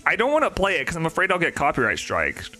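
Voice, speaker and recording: A man with a nasal voice chatting casually on a live stream, rambling and excitable, with faint music behind him. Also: fairly high pitch, quick pace. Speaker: shiny-hunting live streamer.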